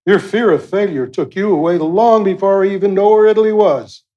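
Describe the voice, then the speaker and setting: An actor in a radio play playing a wry, affectionate God, folksy and teasing as he gently chides. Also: high-pitched, fairly quick, highs cut off, lightly acted. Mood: earnest, gentle.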